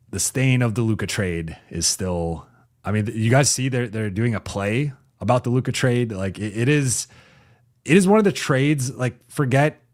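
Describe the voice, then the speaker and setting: Low-voiced man reading chat casually. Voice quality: raspy when talking for a while. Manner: loose and conversational, frequent restarts, reads viewer comments aloud. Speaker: sports reporter and channel host. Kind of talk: casual live-chat basketball analysis. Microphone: home streaming mic.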